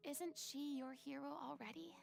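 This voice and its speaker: A woman's voice: sits high, fairly even intonation, normal speed, gravelly, over music. A woman voicing a commanding, theatrical young female star in a game, lecturing earnestly.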